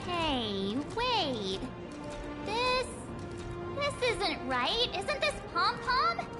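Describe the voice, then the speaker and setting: A woman's voice: very high-pitched, very animated, drawn-out words, heavily acted. A woman voicing a perky, inquisitive young girl adventurer in a video game, bubbly and outspoken, over music. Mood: puzzled. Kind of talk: scripted game voice acting.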